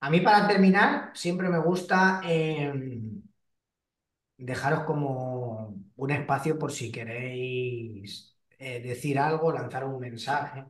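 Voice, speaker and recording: A man's voice: medium pitch, slow. Man with restarts, roomy and distant. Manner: hesitant, many restarts and fillers. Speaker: host and fitness trainer. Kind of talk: casual interview.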